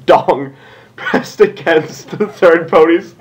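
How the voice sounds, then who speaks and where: A man's voice: a little high, slow, slight background noise. A man reading fan fiction aloud for comedy, casual and giggly, with amused asides.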